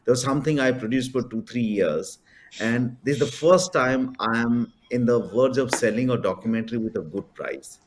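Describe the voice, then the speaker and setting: A man from India, a filmmaker, speaks on a panel and lays out his points earnestly. His voice sits at a middle pitch. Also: normal speed, slight background noise. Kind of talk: panel discussion.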